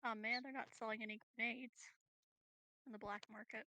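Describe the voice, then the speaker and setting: A woman's voice: a little high, fairly even intonation, moderate pace, bright, forceful tone, rough recording. A woman talking casually as a player in a tabletop roleplaying game. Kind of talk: casual chat.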